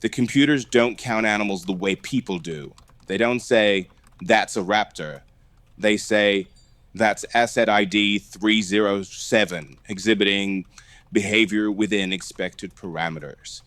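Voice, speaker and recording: A man's voice: on the low side, a little slow, fairly bright tone, no echo. A man playing a methodical, matter-of-fact computer technician in a found-footage horror film, speaking precisely and wryly. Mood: dry, explanatory. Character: dry, methodical male computer technician at a dinosaur park.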